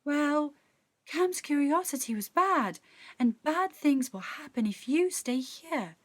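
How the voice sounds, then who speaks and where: A woman voicing a small, anxious fairy sprite in a children's story, pleading and urgent with hushed, dramatic warnings. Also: high-pitched, expressive, slow, pitched above the performer's own voice.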